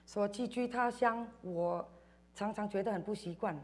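A woman from Indonesia giving a personal church testimony in short phrases, pausing for an interpreter. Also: medium pitch. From Indonesia, schooled in Singapore. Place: church service, speaking to congregation.

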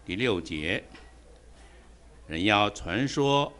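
Man reading scripture very slowly. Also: on the low side, expressive, a bit bright. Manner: slow, deliberate, verse by verse. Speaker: scripture reader.

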